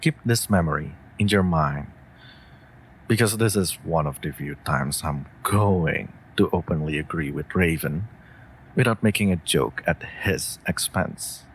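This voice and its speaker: A man's voice: sits low, measured pace, slight background noise, poor audio. A man playing a devoted, worried wolf familiar in a comforting audio roleplay, speaking tenderly and formally to a mage. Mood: gently firm. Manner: tender, earnest, formal phrasing.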